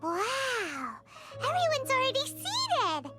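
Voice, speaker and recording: A tiny, excitable fairy companion from a video game. The voice is squeaky and childlike, very high and wildly animated, drawing words out slowly over music. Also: female voice, exaggerated voice. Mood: excited wonder. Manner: exclamatory, refers to itself by name. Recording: background music.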